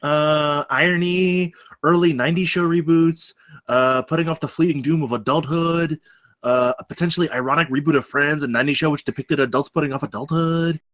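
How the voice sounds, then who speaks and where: A man's voice: a little high, fairly even intonation, a little fast, a bit bright, dry recording, studio quality, natural voice. A man playing an awkward, hesitant young graduate student at a comedy table read. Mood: earnest, nerdy.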